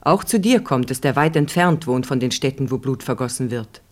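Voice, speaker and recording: An actor playing a solemn, admonishing voice that warns sleepers in measured, poetic radio drama recitation. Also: male voice, medium pitch, animated, close to an everyday voice. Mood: solemn warning.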